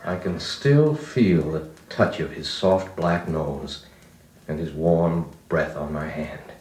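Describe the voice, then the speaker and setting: A man's voice: rumbling low, takes it slowly, background noise. A man playing a grown-up recalling his farm boyhood, narrating in a folksy, nostalgic American voice like an old radio drama. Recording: echoey vintage record with music.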